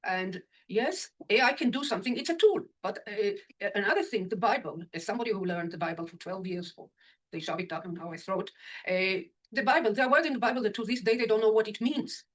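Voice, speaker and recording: A woman interpreter speaking from the audience, loud and blunt in short emphatic statements. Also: medium pitch, expressive, fairly quick. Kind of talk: audience comment.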